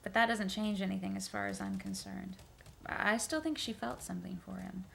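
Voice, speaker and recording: A woman's voice: medium pitch, fairly quick, gravelly. A woman playing an earnest witness in an audio drama, speaking hesitantly and conversationally as she recounts events to an investigator. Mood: defensive. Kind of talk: audio drama interview testimony. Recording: faint background noise.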